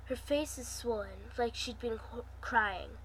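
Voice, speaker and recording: A woman's voice: on the high side, measured pace, fairly bright tone, a little hiss or hum, rough recording. A woman hosting her own channel, chatting informally and reading a fan story aloud in an amateur, casual way.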